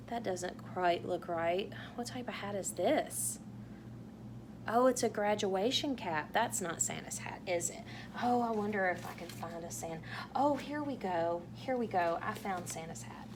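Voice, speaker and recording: A woman reading a picture book aloud to young children in a playful, animated way, asking exaggerated wondering questions. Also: mid-range voice, unhurried, background noise. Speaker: children's read-aloud host.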